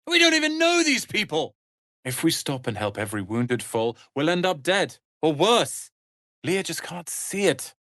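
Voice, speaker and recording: A man voicing a proud, sharp-tongued young tiefling wizard's apprentice in a video game, with haughty, theatrical, biting delivery. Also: fairly high pitch, sing-song, moderate pace, dry recording, studio quality. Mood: bitter, scornful. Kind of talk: dramatic video game voice acting.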